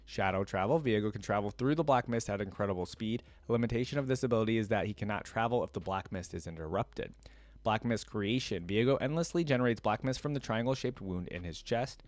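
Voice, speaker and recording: A man's voice: on the low side, no echo. A man hosting a reaction video, casually reading lore aloud and dropping in his own comments, recorded close to the microphone. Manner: casual, interjects reactions between read passages.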